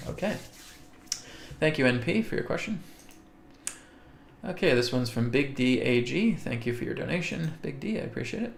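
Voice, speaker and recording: A man speaking in a gravelly, creaky voice, hosting a live spiritual webcast and answering viewer questions. He talks slowly and deliberately, often pausing to check in with "okay?". His voice carries the echo of a roomy space. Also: medium pitch, lively intonation, a little hiss or hum. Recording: echoey room.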